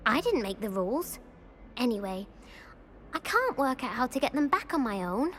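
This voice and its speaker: A woman's voice: a little high, sing-song, normal speed, fairly bright tone, slight background noise. An actress playing a self-pitying, indignant British schoolgirl in a video game, prim and plaintive in a dramatic way. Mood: pleading, huffy. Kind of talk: video game cutscene acting.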